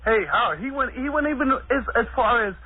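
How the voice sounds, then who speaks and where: A male caller telling a story on a radio phone-in, excited. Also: extremely high pitch, a little fast. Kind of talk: phone-in call. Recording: tinny phone line on radio.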